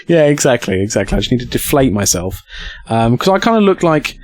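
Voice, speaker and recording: A man's voice: mid-range voice, fairly quick, soft background music. A British man streaming to a live chat, telling casual comedic stories with crude, self-mocking humour and acting out the dialogue. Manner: acts out dialogue with excited outbursts. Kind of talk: animated casual comedic storytelling.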